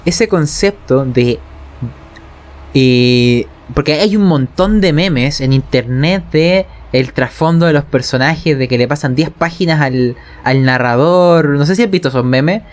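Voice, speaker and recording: A man's voice: a little high, measured pace. A man with a Chilean accent in a casual, opinionated chat, speaking informally with swearing and frequent check-in tags. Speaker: podcast speaker, game narrator. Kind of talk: casual opinionated chat about role-playing games.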